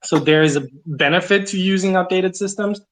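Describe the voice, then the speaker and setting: Serbia-born guy, kinda higher pitched, hosting an online chat, casual and chatty with opinions. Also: fairly quick, fairly bright tone. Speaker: host. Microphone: online audio chat.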